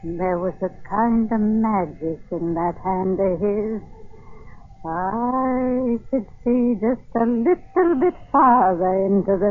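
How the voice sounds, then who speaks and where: Slow, crusty old great aunt. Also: female voice, medium pitch. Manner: scornful, mysterious, emphatic. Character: crusty old great aunt, scornful, spellbinding tale-teller. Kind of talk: 1940s radio drama acting. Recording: tinny, narrow 1940s radio recording.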